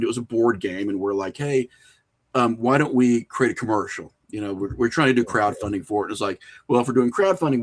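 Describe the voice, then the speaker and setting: A middle-aged American man telling stories casually as an interview guest, animated and often acting out imagined lines of dialogue. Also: fairly low pitch. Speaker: podcast guest, independent filmmaker.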